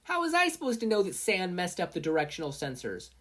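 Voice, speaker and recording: A woman's voice: fairly low pitch, wildly swooping pitch, fast talker, a bit bright, voice pushed up, full character voice. A woman voicing a brash, argumentative teenage girl inventor in a story reading. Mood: defensive, complaining. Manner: smug, argumentative.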